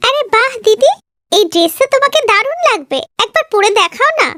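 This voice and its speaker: A woman's voice: extremely high pitch, expressive, brisk, bright, forceful tone, no echo, clean studio sound, full character voice. A woman voicing a kind, humble young sister in a cartoon, with a meek manner. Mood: delighted. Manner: meek, wounded. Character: kind, hurt, humble young sister, rejected for dark skin.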